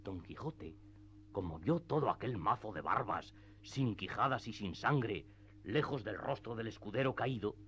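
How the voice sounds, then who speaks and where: A man's voice: on the low side, expressive, measured pace, fairly bright tone, a little hiss or hum. A man narrating a classic literary tale as the scripted narrator of a radio theatre piece.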